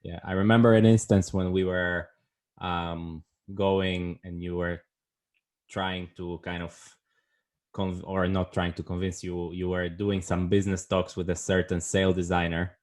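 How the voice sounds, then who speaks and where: A man's voice: deep voice, flat delivery, measured pace, darkish tone. A man hosting a podcast, casual and joking, who teases his guest and swears freely. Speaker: podcast host.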